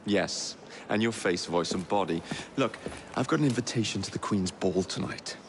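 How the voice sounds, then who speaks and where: A man's voice: sits low, normal speed, noisy background. An actor playing a brash, hot-tempered young English man with a sardonic, flippant manner in a video game cutscene. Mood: cocky. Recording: music behind the voice.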